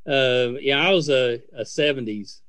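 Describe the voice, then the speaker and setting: An American man in his fifties hosts a casual podcast interview. His voice sits at a middle pitch, and he talks in a chatty, hesitant way, rambling as he circles toward his questions. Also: unhurried, fairly bright tone. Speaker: podcast host.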